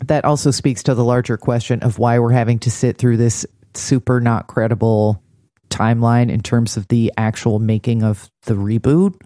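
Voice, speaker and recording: A man's voice: medium pitch, little pitch movement, normal speed, dark tone, no echo, studio quality. A man co-hosting a casual TV recap, with a critical, wry, digressive way of talking. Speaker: podcast co-host.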